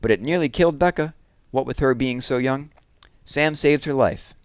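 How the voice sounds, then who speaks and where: A man's voice: mid-range voice, moderate pace, clear, resonant tone, no room sound, pitched above the performer's own voice, close to an everyday voice. A man voicing a proper, outspoken married woman in a storybook reading, emphatic and prim. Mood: prim, defiant.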